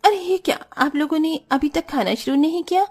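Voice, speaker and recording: A woman's voice: high-pitched, fairly quick, a little mellow. A woman voicing a breezy, stubborn young daughter-in-law who justifies herself airily in a cartoon family drama. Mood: cheerful. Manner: airy, excusing.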